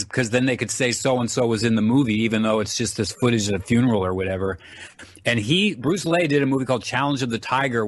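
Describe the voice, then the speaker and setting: A middle-aged man chatting casually as an interview guest, talkative and rambling as he explains things. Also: mid-range voice. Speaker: interview guest, actor and martial artist.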